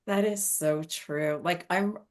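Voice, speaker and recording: A woman's voice: lowish voice, slow pace. A woman from Portugal hosting a casual interview, enthusiastic and curious. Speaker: host.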